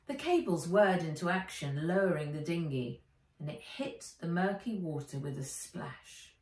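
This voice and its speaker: A middle-aged woman, an author reading aloud from a children's storybook in an expressive narrating style. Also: lowish voice, moderate pace. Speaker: author reading own book. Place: living room or sunroom, indoors. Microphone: camera or phone a few feet away. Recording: roomy, distant home recording.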